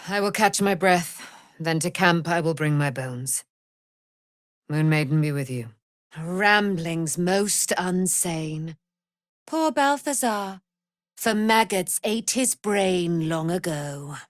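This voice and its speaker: A woman's voice: lowish voice, expressive, takes it slowly, no echo, studio quality. A woman playing a proud immortal paladin, a moon goddess's warrior daughter, in a fantasy video game, speaking in grand, archaic, theatrical declamation. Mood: noble, earnest, then scornful.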